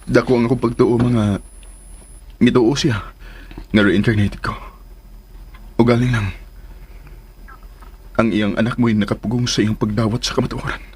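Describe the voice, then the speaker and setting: A man's voice: mid-range voice, fairly even intonation, moderate pace, pauses often, darkish tone, slightly rough, lightly acted. An actor with a Filipino accent playing an earnest, determined adult son confiding in his mother in a radio drama. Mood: earnest, resolute. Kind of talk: Philippine radio drama acting. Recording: background noise.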